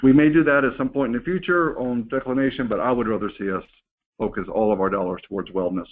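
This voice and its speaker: A man's voice: mid-range voice, steady tone, fast talker. A man speaking as a company executive on a staff call, presenting informally and candidly while reading and answering questions. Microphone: conference call phone line. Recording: muffled narrow phone conference line.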